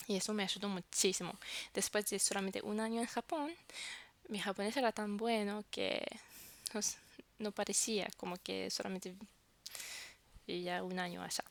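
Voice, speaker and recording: A woman's voice: medium pitch, fairly even intonation, moderate pace, creaky, pauses often. A woman whose first language is French, vlogging casually to camera and searching for words as she talks.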